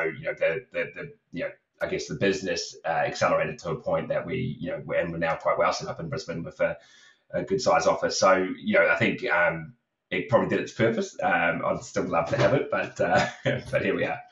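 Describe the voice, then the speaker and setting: A man's voice: low voice, fairly even intonation, a little fast, fairly bright tone. A man with young kids, a recruitment company co-founder, talking casually as a podcast guest with lots of fillers and restarts. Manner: rambling, many fillers and restarts. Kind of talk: casual podcast interview talk. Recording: roomy, distant, dull video call audio.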